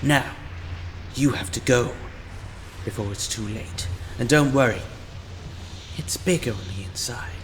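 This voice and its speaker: A man playing a sinister, grandiose storyteller of dark tales in an audio drama, with a theatrical, drawn-out, florid delivery. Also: mid-range voice, background noise, natural voice. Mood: urgent, reassuring. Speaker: narrator and host of an anthology audio series. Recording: long hall reverb under loud music.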